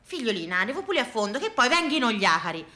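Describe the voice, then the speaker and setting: A woman's voice: fairly high pitch, very animated, quick pace, sharp tone, echoey room. A woman playing a fussy, house-proud elderly neighbour with insistent, rustic speech in a stage monologue. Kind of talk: theatrical monologue character.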